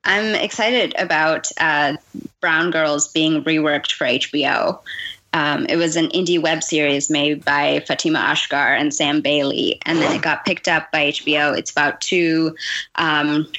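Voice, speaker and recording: A woman speaking as a guest in a podcast conversation, in a thoughtful way with frequent pauses. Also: deep voice, steady tone, pushed and bright, muffled recording. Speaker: guest, fiction writer and teacher.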